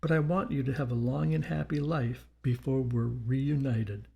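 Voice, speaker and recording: A man's voice: medium pitch, steady tone, moderate pace, vocal fry, slightly rough, dull audio. A man voicing a loving, wistful spirit in an audiobook, speaking with affection and yearning to a beloved.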